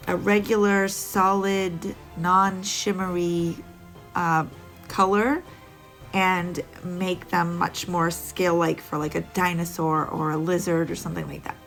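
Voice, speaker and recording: A woman's voice: lowish voice, little pitch movement, unhurried, vocal fry, fairly bright tone, soft background music. A woman narrating a casual nail art tutorial, chatty and rambling with little laughs, a bit sniffly.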